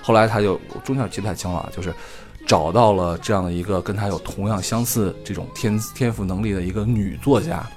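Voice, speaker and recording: A man's voice: lowish voice, fast talker, few pauses, a little mellow, soft background music. A man in his thirties, a podcast co-host, chatting casually and rambling with lots of fillers and restarts. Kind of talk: casual chat.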